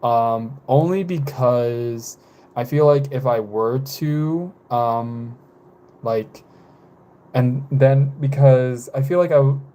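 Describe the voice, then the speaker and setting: A man in his twenties chatting casually with live viewers, rambling and hesitant, with many fillers, self-corrections and soft laughs. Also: mid-range voice, takes it slowly. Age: 20s. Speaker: live streamer.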